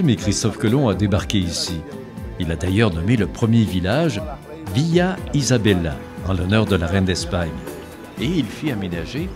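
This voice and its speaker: A man reading scripted travel-show narration and voice-over translation. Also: lowish voice. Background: background music.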